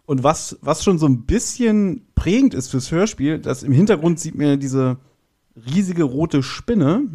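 A man's voice: fairly high pitch, lively intonation, measured pace, mellow, breathy edge. A man talking casually as a guest on a review podcast.

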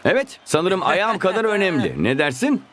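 An actor playing a plucky, teasing young football hero in a Turkish cartoon dub. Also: male voice, high-pitched, huge pitch swings, moderate pace, a bit bright, heavily acted. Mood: confident.

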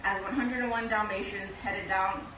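A young adult woman reading a children's picture book aloud with expressive delivery, recorded from a camera in a small room. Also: medium pitch, moderate pace. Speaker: animal shelter kennel technician reading aloud. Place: small indoor shelter room. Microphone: camera a few feet away. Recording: roomy, noisy, distant camera microphone.

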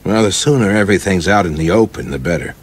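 A man playing a proud, passionate young film director in a radio play, articulate, emphatic and quick to take offence. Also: low-pitched, animated, a little hiss or hum. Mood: calm, firm.

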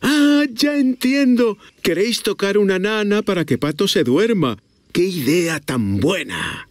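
Animated, slow-paced Castilian Spanish male narrator. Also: high-pitched, no echo. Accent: Castilian Spanish from Spain. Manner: expressive, addressing small children simply. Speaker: narrator of a preschool cartoon. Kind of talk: playful children's narration talking to characters. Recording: children's music behind the voice.

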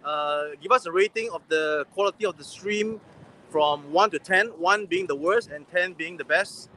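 A man in his forties with a high, bright voice, chatting as he hosts a walking livestream. He talks into a handheld phone outdoors, with faint street noise behind him. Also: normal speed. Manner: chatty, reads viewer comments aloud. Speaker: livestream host. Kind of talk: casual livestream chat. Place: busy city pedestrian street outdoors. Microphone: gimbal-mounted phone at arm's length.

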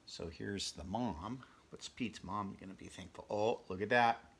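A man in his fifties with a gravelly voice reads a picture book aloud, adding chatty, conversational asides for young listeners. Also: on the low side, a little slow, fairly bright tone, slight background noise. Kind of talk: children's read-aloud with casual asides. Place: small furnished bedroom, indoors.

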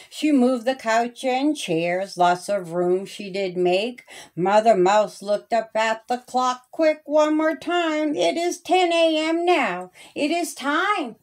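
A woman narrating a rhyming children's story in a deliberate, sing-song manner, stretching the ends of phrases. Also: fairly high pitch. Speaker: children's author reading her own story.

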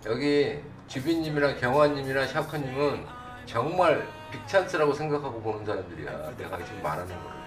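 Man, middle-aged, lively swinging intonation, blunt informal livestream host, roomy sound, faint music behind. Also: mid-range voice, a little fast, recorded from a distance. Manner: blunt, informal, quizzing viewers with repeated either-or questions. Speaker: stock broadcast host. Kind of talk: live stock chart commentary for viewers. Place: indoor desk at a computer, livestream setup.

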